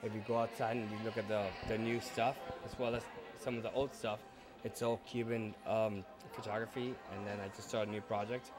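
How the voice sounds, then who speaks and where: A painter and photographer from Cuba giving short, blunt, earnest answers in an interview at an outdoor art event. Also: male voice, fairly low pitch, level tone, brisk. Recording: crowd chatter and music behind.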